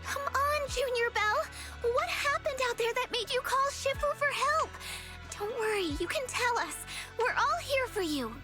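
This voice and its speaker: An eager young martial-arts girl, loyal and protective, in anime game style. The voice is extremely high, over clear background music. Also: expressive, a little slow. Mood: encouraging, concerned.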